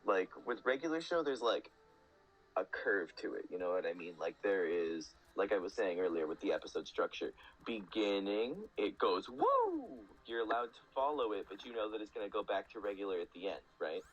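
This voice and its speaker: A man's voice: lowish voice, very animated, normal speed, lo-fi sound. A man chatting casually as a podcast guest, explaining things in an enthusiastic, rambling way with lots of "like". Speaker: guest speaker.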